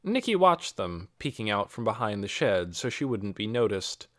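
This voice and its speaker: A man reading a children's novel as scripted audiobook narration, in an expressive storytelling manner. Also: lowish voice, moderate pace, no echo, studio quality.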